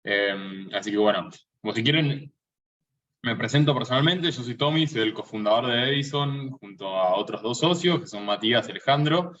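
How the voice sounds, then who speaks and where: A man hosting a live online webinar in a relaxed, hesitant way, with many fillers and restarts. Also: mid-range voice, moderate pace. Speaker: co-founder and event host. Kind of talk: casual live webinar introduction. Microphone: video call. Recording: distant, muffled video-call audio.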